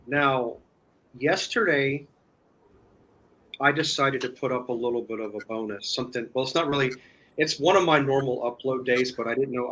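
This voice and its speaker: A man speaking as a guest in a casual chat, explaining step by step in a halting way. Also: medium pitch, a bit bright, poor audio. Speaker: guest, online video creator.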